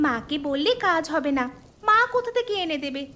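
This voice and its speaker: A woman's voice: sits high, very animated, brisk, bright, forceful tone, heavily acted. A woman voicing a young village girl, an eager older sister, in a cartoon story. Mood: wistful, coaxing.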